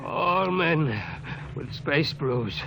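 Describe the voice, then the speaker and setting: A man's voice: fairly high pitch, lively intonation, extremely slow, gravelly, full character voice. An actor in a 1950s radio drama playing a dying male doctor who gasps out his words. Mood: dying, urgent. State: gasping, weak. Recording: noisy old radio broadcast.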